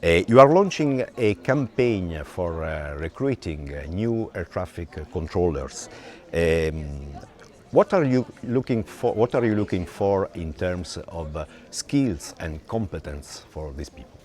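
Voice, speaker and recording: A man working as an interviewer, putting questions in halting, non-native English. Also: deep voice, lively intonation, a little mellow.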